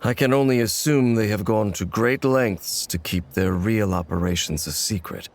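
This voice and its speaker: An actor playing a brooding, coldly determined warrior in a video game, speaking in a measured, solemn, formal way. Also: male voice, fairly low pitch, lightly acted. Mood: grave, suspicious. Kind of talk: English video game voice acting. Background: background music.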